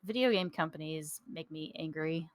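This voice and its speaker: Woman, co-host, low-ish voice, casual gaming chat, wry and frustrated, dry close sound. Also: normal speed, no echo. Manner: frustrated, wry, laughs while talking. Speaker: podcast co-host.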